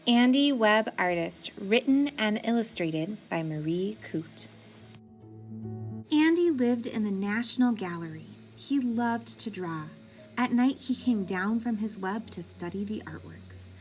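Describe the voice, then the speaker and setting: A woman reading a children's picture book aloud in an expressive, deliberate way, like a teacher with young pupils. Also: mid-range voice, faint music behind.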